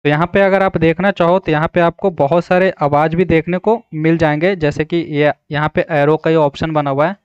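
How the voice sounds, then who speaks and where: A man walks listeners through an app in a casual, instructional way. His voice is clear and on the higher side. The recording is close and dry, with a slightly muffled top end. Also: fairly even intonation, brisk, few pauses, dry recording. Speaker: tutorial host. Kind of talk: casual screen-recorded app tutorial narration.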